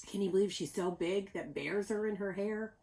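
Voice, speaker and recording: A woman teacher reading a children's story aloud, explaining things simply and speaking directly to young listeners with expressive emphasis. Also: mid-range voice, moderate pace, a little hiss or hum.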